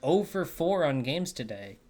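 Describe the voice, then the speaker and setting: Animated young guy doing game commentary. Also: fairly high pitch, unhurried. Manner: joking, exasperated, slangy. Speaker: gaming video host. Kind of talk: casual game commentary with a co-host. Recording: clear game music behind.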